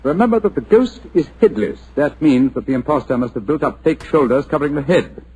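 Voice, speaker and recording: An actor playing a calm, clever detective who speaks in a measured and authoritative way. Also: male voice, a little high, natural voice, low-quality recording.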